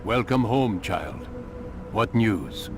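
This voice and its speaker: An actor playing a calm, cryptic old master of assassins in a video game, speaking in measured, formal, instructive lines. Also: male voice, lowish voice, full character voice. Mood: calm, welcoming. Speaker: mentor figure in a game cutscene. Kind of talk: solemn video game drama acting. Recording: game soundtrack music under the voice.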